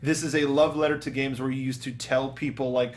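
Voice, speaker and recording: A man talking casually as a show panelist, telling things in an animated way and acting out quotes. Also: mid-range voice, a little fast. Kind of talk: casual film and game discussion.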